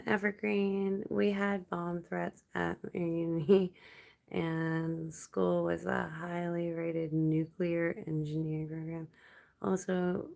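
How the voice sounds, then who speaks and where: Slow, gravelly-voiced woman streamer. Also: fairly low pitch. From Canada. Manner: relaxed, rambling, laughs often. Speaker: livestream host. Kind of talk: casual late-night chat with viewers.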